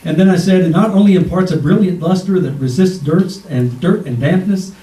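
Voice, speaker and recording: A man's voice: sits high, a little fast, dark tone, lightly acted. A man playing a cheerful, salesman-like announcer pal in a 1940s-style radio comedy. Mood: earnest selling. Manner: breezy, salesman-like. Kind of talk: 1940s radio comedy acting before a live audience. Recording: echoey stage, distant from mic.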